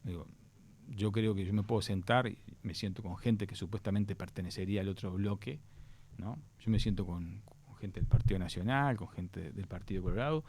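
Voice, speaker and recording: A man's voice: low voice, brisk, darkish tone. A middle-aged man with a Uruguayan accent, a guest on a radio talk show, explaining education policy earnestly with frequent restarts. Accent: Uruguayan Spanish. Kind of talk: radio interview answers.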